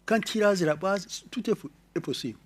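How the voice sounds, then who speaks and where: A man from Rwanda with a Rwandan French accent, speaking to an audience in a halting, explanatory way, often repeating words and restarting. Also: high-pitched, lively intonation. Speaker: conference speaker. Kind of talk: lecture-style talk to an audience.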